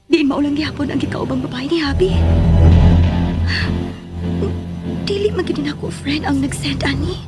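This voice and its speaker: An actress with a Filipino accent playing a loving but uneasy young wife in a radio drama, musing hesitantly. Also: female voice, sits high, close to an everyday voice. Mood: puzzled. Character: loving young wife, uneasy and secretly doubting her husband. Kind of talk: Philippine radio drama acting.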